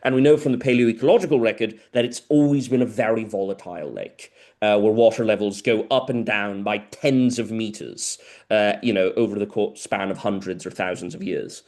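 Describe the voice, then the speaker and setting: Low-voiced lecturer, native Spanish speaker. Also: male voice, a little fast, darkish tone. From South America. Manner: explanatory, informal, detailed. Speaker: guest lecturer. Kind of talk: answering audience questions at a seminar.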